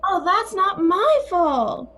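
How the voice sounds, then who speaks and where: A woman's voice: extremely high pitch, very animated, takes it slowly, noisy background, heavily acted. A woman playing a defensive, flighty, exasperated sister in an audio play, dramatic and full of sighs. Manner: dramatic, sighing, often opens with "oh".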